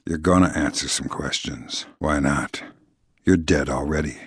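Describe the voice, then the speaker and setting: A middle-aged video game operative with a very deep, husky, gravelly voice. He is cool and sardonic, delivering threats in a dry deadpan. The sound is close and dry, with no room on it. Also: unhurried, no room sound, exaggerated voice. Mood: quietly menacing. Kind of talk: video game voice acting, isolated dialogue lines. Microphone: close studio microphone, dry.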